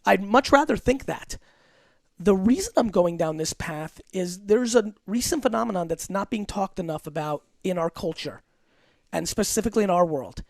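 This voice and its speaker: A man as a guest on an interview podcast, talking about business in a blunt, emphatic, rapid-fire way with some profanity. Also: sits high, darkish tone, no echo. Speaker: entrepreneur guest.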